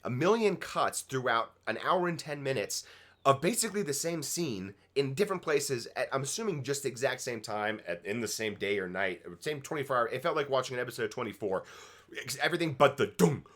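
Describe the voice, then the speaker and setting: A man's voice: mid-range voice, animated, a little fast, a bit bright. A man co-hosting a TV reaction show, giving casual, opinionated commentary in an emphatic, exasperated manner. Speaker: co-host of a TV episode reaction show. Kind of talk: casual opinionated TV review chat.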